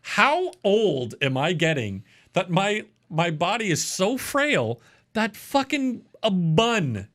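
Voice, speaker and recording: A man co-hosting a podcast, telling stories in loud, jokey casual chat full of swearing. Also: sits high, sing-song, slow pace. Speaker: podcast co-host.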